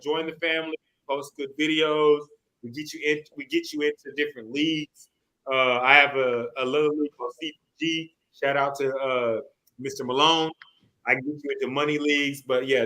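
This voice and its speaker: A man's voice: on the high side, bright, forceful tone, poor audio. A man hosting a sports podcast in casual chat, talking in a slangy, profane way.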